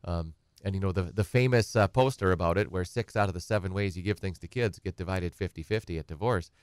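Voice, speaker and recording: A man's voice: sits low, fast talker, smooth, dry recording, clean studio sound. A middle-aged man, a guest attorney explaining estate planning in a rambling, conversational way and acting out clients' questions. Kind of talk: conversational talk show explanation.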